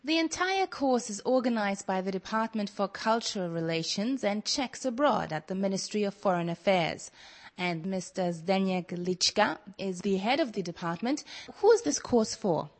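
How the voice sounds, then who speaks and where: A woman's voice: mid-range voice, lively intonation, moderate pace, dry recording. A woman working as a radio interviewer, asking questions and narrating a report.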